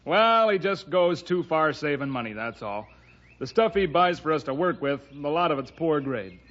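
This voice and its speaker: An actor playing a defensive working-class builder with folksy, ungrammatical, wary speech in a radio western. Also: male voice, fairly high pitch, animated, moderate pace, a bit bright. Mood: grumbling. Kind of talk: old-time radio western acting. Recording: dull vintage radio recording.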